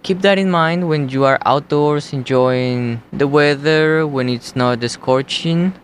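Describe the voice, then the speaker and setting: A young man with a Colombian accent hosting a college radio show, talking in a slow, halting, casual way. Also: a little high, a little hiss or hum. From United States. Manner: slow, unhurried, halting delivery.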